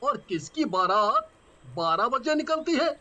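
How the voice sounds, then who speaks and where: A man voicing an excitable young traveller in a cartoon story, with exclamatory delivery. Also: extremely high pitch, a little slow, heavily acted. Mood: puzzled. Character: excitable young male friends on a night journey.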